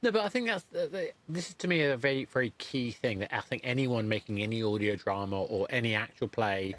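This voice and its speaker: Halting man hosting a podcast interview. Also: mid-range voice, a bit bright. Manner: halting, rephrases as he goes. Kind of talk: casual interview chat.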